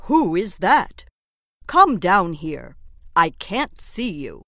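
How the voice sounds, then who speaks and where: A woman's voice: fairly low pitch, sing-song, slow pace, sharp tone, no room sound, clean studio sound, full character voice. A woman voicing a male wolf who leads the animals in a told story, firm and calling out. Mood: questioning.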